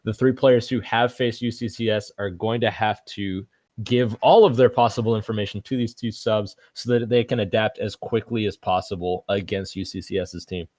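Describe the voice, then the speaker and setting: A man doing live esports commentary in a measured, analytical way. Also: fairly low pitch, fairly even intonation, darkish tone, dry recording, clean studio sound. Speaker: esports caster.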